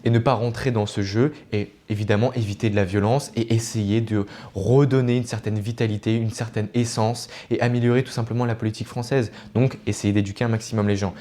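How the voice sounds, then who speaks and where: Low-voiced man, earnest explainer. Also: fairly even intonation, a little fast, few pauses, mellow. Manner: earnest, didactic, digressive, self-correcting. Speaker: video essayist. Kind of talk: informal explanatory political commentary to viewers.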